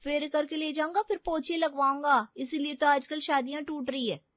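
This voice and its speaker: High-voiced, complaining young daughter played by a woman. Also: steady tone, moderate pace, dry recording, dull audio, close to an everyday voice. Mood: exasperated. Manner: complaining, dramatic. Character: outspoken, cynical daughter, unmarried working woman in her twenties. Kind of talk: Hindi audio drama acting.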